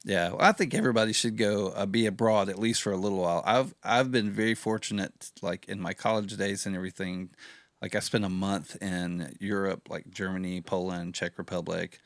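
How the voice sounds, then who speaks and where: A man hosting a casual podcast interview, chatty and relaxed, with lots of fillers. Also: deep voice, fairly quick, no room sound. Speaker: podcast host.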